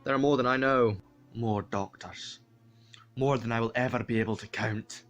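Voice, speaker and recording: A man's voice: mid-range voice, steady tone, moderate pace, fairly bright tone, faint music behind, lightly acted. A man playing a weary, ancient time-travelling alien hero in a dramatic monologue. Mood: grave.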